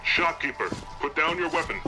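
A man's voice: fairly high pitch, fairly quick, over music, close to an everyday voice, poor audio. A man playing a stern military enforcer in a sci-fi audio drama, giving clipped commands. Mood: commanding. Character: stern armed military enforcer ordering civilians.

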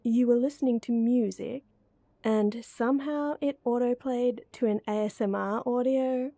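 A woman's voice: a little high, steady tone, measured pace, dark tone, no echo. A young woman voice actor playing a playful, teasing close friend in a roleplay audio, speaking to the listener in a giggly, coaxing way. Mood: amused, teasing.

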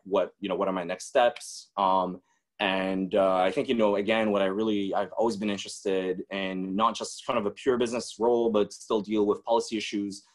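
A man in his twenties from the Czech Republic, speaking as a webinar guest in a loose, casual way with many "you know"s and restarts, heard over a video call. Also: low voice. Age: 20s.

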